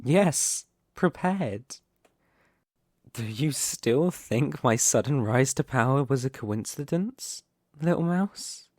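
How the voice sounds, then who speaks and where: A man playing a possessive, scheming young mafia boss in an ASMR roleplay, speaking in a deliberate, condescending, theatrical way. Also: mid-range voice, animated, darkish tone, dry recording, clean studio sound. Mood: smug, gloating.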